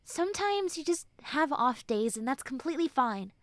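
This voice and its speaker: A woman voicing a prickly but caring tsundere young woman in a roleplay audio, with halting, sighing delivery. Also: sits high, big pitch swings, dry recording. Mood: tender, reassuring. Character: prickly tsundere young woman who turns tender and comforting. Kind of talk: roleplay audio acting addressed to the listener.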